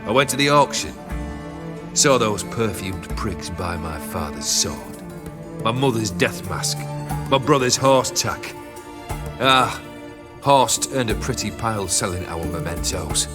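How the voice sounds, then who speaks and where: A man's voice: fairly low pitch, animated, a little slow. An actor playing a world-weary, cursed nobleman who talks with bitter, sardonic humor, with a game score behind him. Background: game music score.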